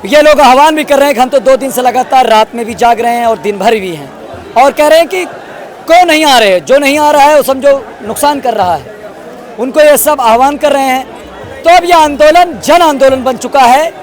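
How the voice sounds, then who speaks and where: A man reporting outdoors at a protest, his voice pitched very high. He asks pointed questions, then sums up earnestly for the viewers. A crowd murmurs faintly behind him. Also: expressive, normal speed. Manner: probing questions, then earnest summing up to camera. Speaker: street reporter. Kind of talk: street interview at a protest.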